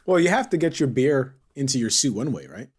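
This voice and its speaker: A man's voice: mid-range voice, big pitch swings, a little fast, husky. A man chatting casually as a podcast co-host, jokey and playfully dramatic. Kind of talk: casual chat.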